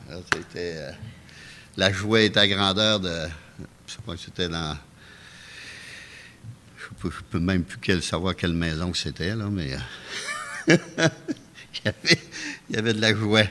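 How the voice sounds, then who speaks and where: A man with a Québécois French accent preaching a calm, anecdotal testimony sermon in a church, chuckling often. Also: low-pitched, normal speed, long pauses, slight background noise. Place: church sanctuary. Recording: echoey church hall.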